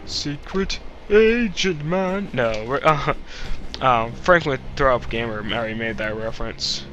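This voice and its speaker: Animated, goofy young man commentating a game. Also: mid-range voice, unhurried, dull audio, soft background music. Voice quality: slightly congested, stuffy nose. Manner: rambling, goofy, jokey asides. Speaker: Let's Play host. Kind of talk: casual gameplay commentary.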